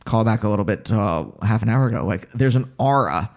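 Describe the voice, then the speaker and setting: Halting, musing American man, Pennsylvania accent. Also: fairly low pitch, expressive, a little mellow. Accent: Pennsylvania with a touch of New York. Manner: halting, self-interrupting, musing. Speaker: podcast host. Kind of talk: casual reflective conversation.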